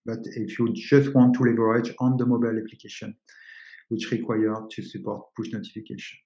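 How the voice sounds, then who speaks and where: A man's voice: lowish voice, steady tone, vocal fry, mellow. Man presenting in an echoey room. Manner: explanatory, halting non-native English phrasing. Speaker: webinar presenter, company co-founder. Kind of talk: technical webinar presentation. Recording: roomy, echoing sound.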